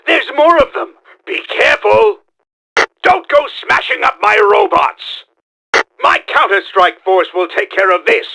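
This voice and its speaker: An actor playing a pompous, scheming mad-scientist villain in a video game, with a theatrical, emphatic delivery. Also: male voice, high-pitched, vocal fry, sharp tone, a little hiss or hum, heavily acted, poor audio. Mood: alarmed, urgent, then indignant, scheming. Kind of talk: video game character acting.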